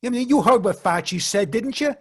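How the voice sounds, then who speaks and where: An actor playing a jittery, defensive young fisherman in a radio drama, husky and stammering. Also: sits high, animated, fairly quick. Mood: panicked, defensive. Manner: stammering, agitated. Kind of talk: 1940s radio drama acting.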